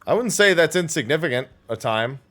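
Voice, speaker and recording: A man's voice: on the high side, animated, brisk, a bit bright. A man giving casual, rambling livestream commentary as he plays a game, thinking aloud. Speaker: livestream gamer and host.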